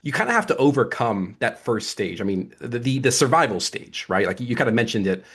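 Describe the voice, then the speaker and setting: A man's voice: medium pitch, very animated, fairly quick, fairly bright tone, husky. A man hosting a podcast interview in a casual, upbeat, conversational way. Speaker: podcast host.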